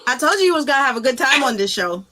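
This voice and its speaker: Bright, high-voiced woman hosting casually. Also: lively intonation, racing. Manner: informal, effusive, repeats phrases. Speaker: interview show host. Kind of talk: casual interview chat.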